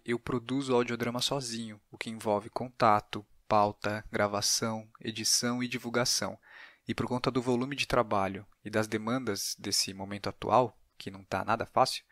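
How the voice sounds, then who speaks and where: Casting: Brazilian male podcast host, mid-pitched voice, conversational delivery, very dry sound. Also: a bit bright, no room sound. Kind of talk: conversational podcast hosting and sign-off.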